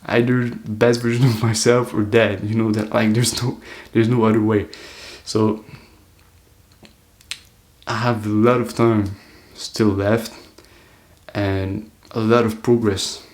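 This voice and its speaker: A man's voice: fairly low pitch, flat delivery, slow pace, a little mellow. An eighteen-year-old man talking to camera in an earnest, loose, reflective monologue, full of "like" fillers. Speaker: self-improvement vlogger.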